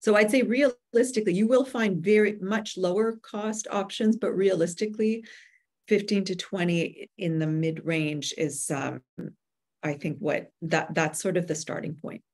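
A woman in her forties giving measured, explanatory answers as an expert guest on a webinar video call. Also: mid-range voice, steady tone, a little mellow. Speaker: guest expert, hybrid publisher. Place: room with bookshelves at home or office, indoors. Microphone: computer video call.

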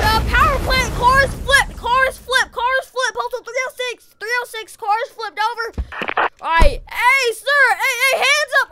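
A speaker giving excited, slangy gameplay commentary and urgent police roleplay into a close microphone. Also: high-pitched, no room sound. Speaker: gaming video host playing a police officer.

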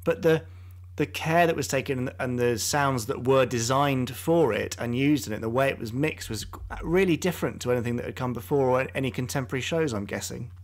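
Male podcast host, medium-pitched voice. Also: brisk. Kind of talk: casual interview.

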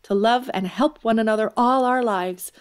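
An actress playing a spirited, blunt, affectionate young tomboy in an audio drama, exclamatory and teasing. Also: female voice, a little high, normal speed, clean tone, close to an everyday voice. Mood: earnest, affectionate.

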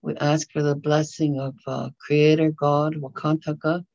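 An older woman whose first language is Dakota, speaking in a measured, halting way over a video call from a small bedroom. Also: deep voice, little pitch movement, gravelly, darkish tone, dry recording. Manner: measured, halting, with frequent pauses. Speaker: community presenter. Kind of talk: prayer and talk. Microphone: video call webcam. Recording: slightly dull lo-fi video call audio.

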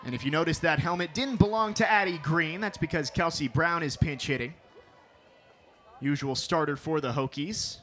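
A young man calling a televised softball game as play-by-play announcer, with a crisp broadcast delivery that lifts for big plays. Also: on the high side, moderate pace. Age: young adult. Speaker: sports reporter and play-by-play announcer.

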